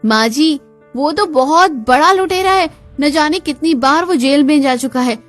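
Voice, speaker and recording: A sarcastic, defiant young cartoon daughter-in-law, voiced by a woman, dramatic and mock-sweet. Her voice is fairly high, with faint music behind. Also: measured pace, no echo. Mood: pleading.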